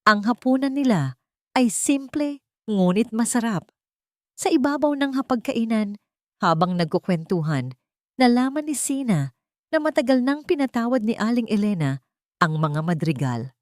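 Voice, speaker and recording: A woman from the Philippines narrating a romance audiobook in a gentle, measured storytelling manner. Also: on the high side, big pitch swings, no echo, clean studio sound.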